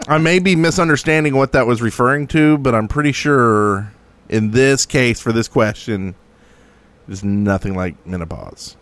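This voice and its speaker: A man's voice: mid-range voice, moderate pace, a bit bright. A man co-hosting a podcast, chatting in a rambling, matter-of-fact way. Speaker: podcast co-host. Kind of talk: casual podcast chat.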